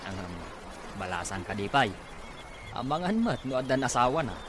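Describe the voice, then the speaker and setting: Guy doing nosy teasing pal in radio drama, lively mid voice, super noisy audio. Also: medium pitch, moderate pace, natural voice. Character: teasing, nosy male buddy. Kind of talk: Ilocano audio drama acting.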